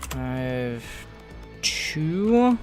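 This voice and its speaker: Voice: medium pitch, very animated, drawn-out words, over music. A streamer talking casually while working at a computer, often muttering thoughts aloud as if to themselves. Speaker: live streamer modding a video game.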